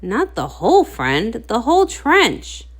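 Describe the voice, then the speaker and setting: A woman's voice: a little high, huge pitch swings, measured pace, full character voice. A woman voicing a strong, easygoing male pony digger with a modest, friendly manner for a storybook. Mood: easygoing, encouraging.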